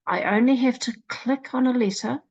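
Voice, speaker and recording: A woman with a New Zealand accent presenting a literacy program in a slow, deliberate walkthrough, sounding out letters one at a time. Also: on the high side, breathy edge, highs cut off. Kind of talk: screen-recorded software walkthrough.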